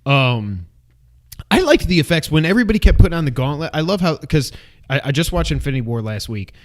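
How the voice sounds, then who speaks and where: A man's voice: fairly high pitch, quick pace. Casual, rambling male co-host. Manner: rambling, self-correcting, excitable asides. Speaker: film review co-host. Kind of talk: casual unscripted movie discussion.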